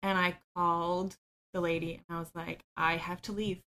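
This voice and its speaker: A woman's voice: lowish voice, little pitch movement, normal speed, sharp tone. A woman, a podcast guest who talks openly about her own life, often with small laughs and ums. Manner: open and self-deprecating, laughs easily, frequent ums. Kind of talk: candid personal storytelling.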